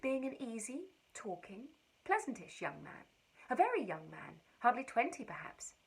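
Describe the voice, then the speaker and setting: A woman giving an expressive, wry and precise reading of a period letter. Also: on the high side, moderate pace, vocal fry, husky, poor audio. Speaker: reader.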